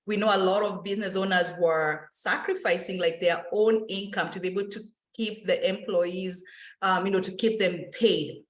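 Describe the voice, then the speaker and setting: Woman, financial educator, lively webinar talk, roomy echo. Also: mid-range voice, moderate pace. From Kenya. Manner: energetic, speeds up through lists, many fillers. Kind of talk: casual enthusiastic presentation.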